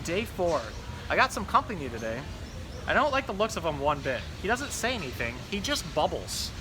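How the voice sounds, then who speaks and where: A man's voice: sits high, sing-song, a little fast, over music. A man voicing an exasperated, fussy pet goldfish in a children's storybook read-aloud, with dry, grumbling delivery. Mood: wary, disgusted.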